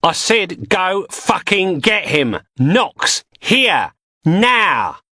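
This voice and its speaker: A man's voice: a little high, wildly swooping pitch, a little fast, bright, forceful tone, husky, no room sound, clean studio sound, pitched above the performer's own voice, heavily acted. A man doing a barking Estuary English thug. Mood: threatening. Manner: barking orders. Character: menacing male thug.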